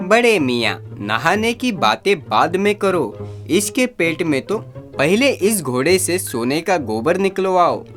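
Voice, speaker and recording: A performer voicing an excitable, silly younger male sidekick in a comic animated story, whiny and eager, with singsong delivery. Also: mid-range voice, takes it slowly, faint music behind, pitched above the performer's own voice. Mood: impatient.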